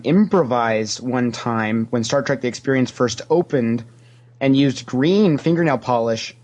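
A man's voice: medium pitch, a little mellow. Talkative man telling casual interview anecdotes. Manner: talkative storyteller, acts out quoted remarks. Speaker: podcast guest, former theme park character actor.

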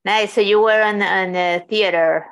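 A woman's voice: medium pitch, fairly even intonation, normal speed. A woman interviewing a guest with friendly, short encouraging replies. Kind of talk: casual interview chat.

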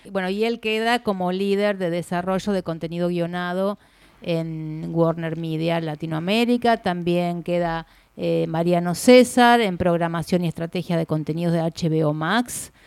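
An Argentine woman co-hosting a casual industry chat, giving news in a measured way with fillers. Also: fairly low pitch, a little mellow, clear, resonant tone, dry recording. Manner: measured, informative, hesitant fillers. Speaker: co-host reporter.

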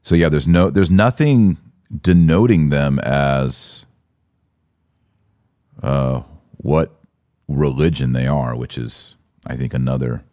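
Male podcast host, deep voice, dry close sound. Emphatic, colloquial, opinionated live commentary. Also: slow, pauses often, warm tone, no echo. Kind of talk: live commentary and casual discussion.

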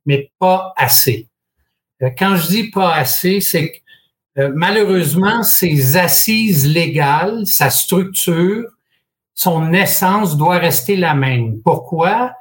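Québécois man in his sixties, measured. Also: a little high. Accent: Québécois French. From Quebec. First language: French. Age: 60s. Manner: measured, with frequent hesitations and light humor. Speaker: guest, franchise expert. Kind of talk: relaxed storytelling interview.

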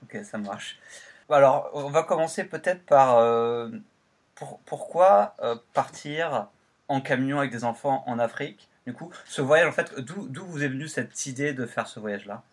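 A man's voice: mid-range voice, lively intonation, moderate pace. A man hosting a travel podcast, chatting casually with frequent fillers and restarts. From France. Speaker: podcast host.

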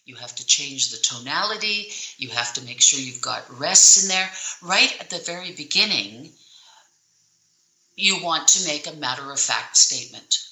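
Low-voiced woman, bright and emphatic. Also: echoey room, poor audio. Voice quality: low speaking voice. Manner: emphatic, deliberate, demonstrates with pauses and shifts in tone. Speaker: public speaking coach. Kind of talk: interview answers, instructive.

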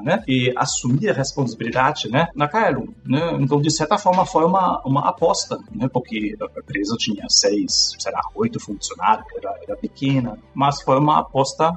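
A German man in his forties, speaking with a German accent, answers interview questions reflectively and tags his phrases with a questioning "né?". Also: mid-range voice. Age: 40s. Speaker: interview guest, tech instructor.

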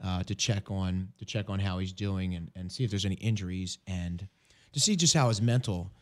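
Man, hoarse voice, radio host, earnest, halting, restarts often. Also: deep voice. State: hoarse from illness. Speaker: ministry program director.

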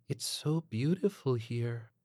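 A waifish young New Age songstress, voiced by a man, soft and airy with a lifted pitch. She croons slowly and dreamily, a spaced-out storybook character in a dry recording. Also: animated, dry recording. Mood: dreamy wonder.

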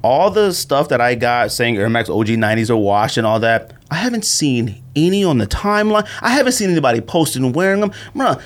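A man hosting a podcast in casual, slangy chat, speaking in an animated and opinionated way. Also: mid-range voice, a little fast, hardly any pauses. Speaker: podcast host.

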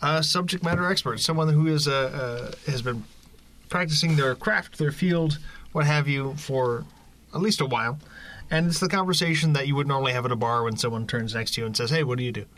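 A man's voice: medium pitch, brisk, fairly bright tone. Chatty joking podcast guy. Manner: joking, rambling. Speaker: podcast co-host. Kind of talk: casual bar-talk podcast chat.

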